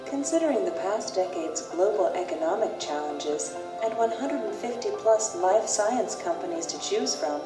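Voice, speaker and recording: A woman's voice: medium pitch, expressive, normal speed, hardly any pauses, recorded from a distance. Woman narrating a company video. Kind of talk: scripted narration. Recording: video played into an echoey room, with music.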